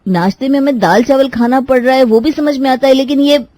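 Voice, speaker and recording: A high, girlish young sister-in-law from a moral-story cartoon, played by a woman. She is expressive, complaining yet fond of her family, and recorded close and dry. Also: brisk, no room sound, lightly acted. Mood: whiny complaint.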